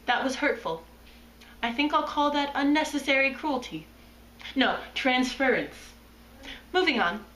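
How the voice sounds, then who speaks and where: A woman's voice: sits high, lively intonation, measured pace, long pauses, fairly bright tone. A woman playing a pompous, self-absorbed male psychoanalyst in an amateur comedy skit, clinical and easily flustered. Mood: smug, clinical. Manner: clinical, self-important, flustered stammering when challenged. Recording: roomy echo, distant microphone.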